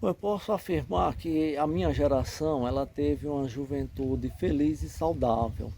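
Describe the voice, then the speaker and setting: Older northeastern Brazilian man, higher-pitched, mellow. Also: moderate pace, vocal fry, a little hiss or hum. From backlands of northeastern Brazil. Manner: explanatory, frequently adding a tag question. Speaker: storyteller recalling local life, professor. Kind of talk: reminiscing in an interview.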